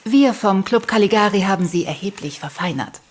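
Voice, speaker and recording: An actress playing a cold, haughty conspirator in a radio drama, with a condescending, cool manner. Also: female voice, animated, fairly quick, close to an everyday voice. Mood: smug, cold. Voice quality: husky, low. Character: cold, smug female conspirator and killer, haughtily superior.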